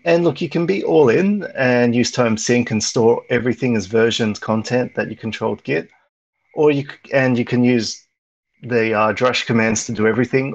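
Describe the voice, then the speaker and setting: A man gives a casual tech talk at an online meetup, speaking over a video call. His voice sits at a middle pitch with a gravelly edge. He rambles, with plenty of ums and restarts. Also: highs cut off. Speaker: meetup presenter.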